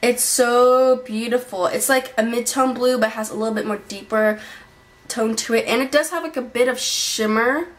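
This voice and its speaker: A young woman chats through her monthly favorites in a bright, fairly high voice. She rambles, restarts and fills pauses as she goes. She is recorded on a built-in camera mic in a small bedroom. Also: steady tone. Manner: chatty, rambling, many fillers and restarts. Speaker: beauty vlogger. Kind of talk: casual monthly favorites vlog. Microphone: built-in camera mic at desk distance.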